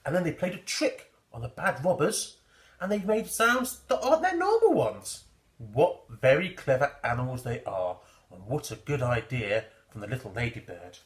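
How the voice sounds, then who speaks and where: A man's voice: medium pitch, big pitch swings, moderate pace, echoey room. A man reading a children's story expressively and theatrically, explaining things simply for small children. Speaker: storybook reader.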